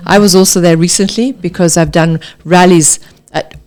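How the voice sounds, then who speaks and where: A woman, speaking as an interview guest and safari guide, telling stories lively enthusiasm. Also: lowish voice, normal speed. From Tanzania. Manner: enthusiastic, animated storytelling. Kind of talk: casual interview talk.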